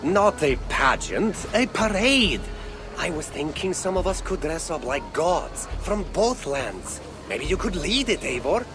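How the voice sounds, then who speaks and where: Good-natured viking lad from a game, earnest, very animated pitch, music behind. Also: male voice, measured pace. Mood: eager. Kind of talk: dramatic video game acting.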